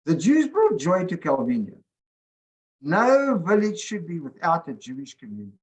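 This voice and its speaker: A man reading a passage aloud in an expressive manner. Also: fairly high pitch, normal speed. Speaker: speaker reading his article summary.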